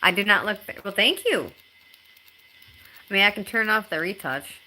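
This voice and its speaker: Bright-voiced chatty woman in her thirties. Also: medium pitch, lively intonation, poor audio. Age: 30s. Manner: chatty and halting, with many ums and restarts. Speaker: live streamer. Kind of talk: casual live-stream chat reading viewer comments.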